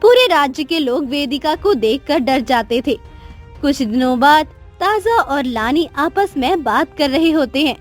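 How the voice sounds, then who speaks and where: A woman narrating a cartoon story in a steady, clear storytelling manner. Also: high-pitched, a little slow, soft background music.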